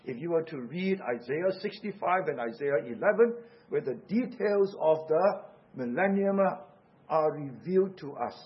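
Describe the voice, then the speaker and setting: A man's voice: on the high side, expressive, normal speed, breathy edge, dull audio. A man from Singapore with a Singaporean English accent, preaching a sermon earnestly and urgently, asking rhetorical questions.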